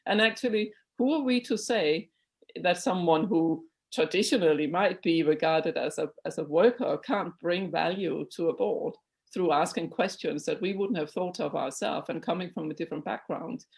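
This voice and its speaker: A woman's voice: fairly low pitch, moderate pace, gravelly, darkish tone. Earnest Danish-born woman on a video call. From Denmark. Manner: earnest, emphatic, many restarts. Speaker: guest speaker, board chair. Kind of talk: webinar Q&A answer.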